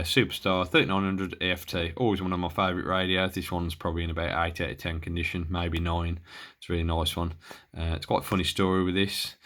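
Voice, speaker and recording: British male, deep register, unscripted gear-review chat, hesitant and rambling, recorded on a handheld camera. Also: a bit bright. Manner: rambling, hesitant, self-interrupting. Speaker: hobbyist showing and reviewing his radio gear. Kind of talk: casual unscripted equipment demo.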